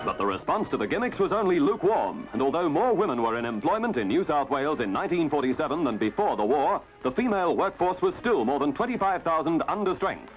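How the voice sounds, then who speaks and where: A male newsreel narrator with a fairly high, very lively voice. He declaims his script formally. Music plays behind him on a dull, band-limited old soundtrack. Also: fast, few pauses.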